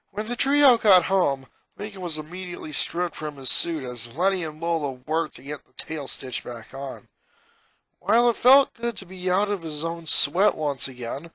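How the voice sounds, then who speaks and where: A man's voice: fairly high pitch, a bit bright, no echo. A man reading a story aloud as a scripted narrator. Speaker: fan fiction reader, narrator.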